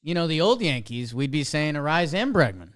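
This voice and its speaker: A man's voice: fairly high pitch, very animated, fairly bright tone, dry recording, studio quality. A man co-hosting a podcast, chatting casually about sports in a laid-back way. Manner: laid-back, quick agreeing replies. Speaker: podcast co-host.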